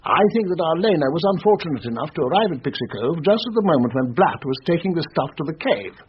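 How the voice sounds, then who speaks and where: A brisk, confident colonel of the officer class, quick to show exasperation. His pitch moves widely. It is radio mystery acting on a dull recording with faint noise. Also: big pitch swings.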